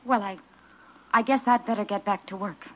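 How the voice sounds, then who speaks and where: An actress playing a brisk female colleague at a doctor's office in a classic radio drama. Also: medium pitch, fairly even intonation, lightly acted. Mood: brisk, wry. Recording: dull vintage radio recording.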